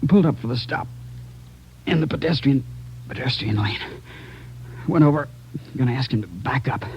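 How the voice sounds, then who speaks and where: A gravely wounded policeman as a man acts him in a vintage radio play. His creaky voice comes in weak, gasping fragments, dazed and pleading. The old recording is muffled, with a faint hum. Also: mid-range voice, a little mellow, close to an everyday voice. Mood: weak, confused. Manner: broken, halting fragments, repeating himself. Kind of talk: 1940s-50s radio drama acting.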